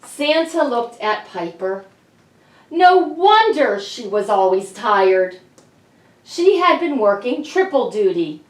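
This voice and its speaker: Slow-paced woman reading to children, echoey room. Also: a little high, very animated. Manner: deliberate, addresses young listeners. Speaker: children's author reading aloud. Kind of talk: storybook read-aloud for children. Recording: echoey room, slightly distant mic.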